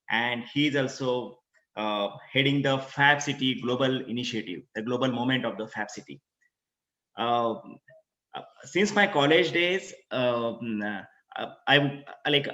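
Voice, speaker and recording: Bright-voiced man anchoring a forum. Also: mid-range voice, echoey room. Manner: halting, many fillers. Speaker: session anchor. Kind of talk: moderating an online forum. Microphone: video call.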